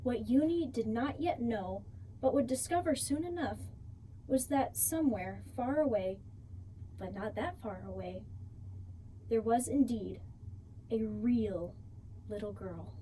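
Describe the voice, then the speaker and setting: Female storybook reader, fairly high, soft-toned voice, expressive read-aloud phrasing, slight background noise. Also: unhurried, long pauses, rough recording.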